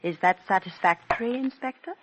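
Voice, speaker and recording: An actress playing a poised, genteel, scheming young stage actress in a 1940s radio drama, with theatrical composure. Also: female voice, mid-range voice, brisk, dull audio, slight background noise, lightly acted. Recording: vintage 1940s radio recording.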